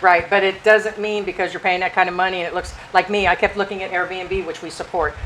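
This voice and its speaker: An older woman from the United States, co-hosting a casual chat and jumping quickly from point to point. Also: fairly low pitch, fairly even intonation, a crowd in the background, low-quality recording. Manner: chatty, talks over her partner, jumps between points. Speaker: co-host.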